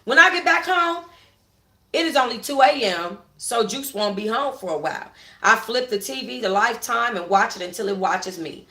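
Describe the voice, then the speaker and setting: A woman's voice: fairly high pitch, animated, normal speed, sharp tone, roomy sound. A woman narrating a first-person story for a book club in a dramatic, sassy, slangy manner.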